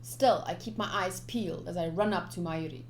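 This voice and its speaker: A woman streamer playfully reading visual novel text aloud, with casual asides in an expressive delivery. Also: lowish voice, a little fast, a little hiss or hum, low-quality recording. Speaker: game streamer. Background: game music.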